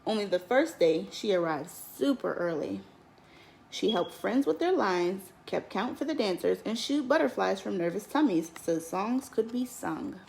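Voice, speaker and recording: A woman teacher reading a picture book aloud in an expressive, lilting storytelling manner. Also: mid-range voice, unhurried, vocal fry, slight background noise, low-quality recording. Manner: expressive, lilting storytelling with lifts on key words.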